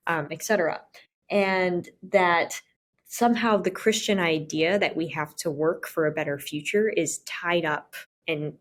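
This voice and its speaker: Woman, podcast guest, lower-pitched voice, academic, articulate, step-by-step explanation. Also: slight background noise. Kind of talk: explanatory intellectual discussion.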